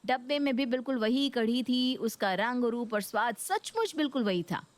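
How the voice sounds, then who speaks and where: A woman's voice: on the high side, expressive, normal speed, dry recording. A woman narrating a scripted Hindi story.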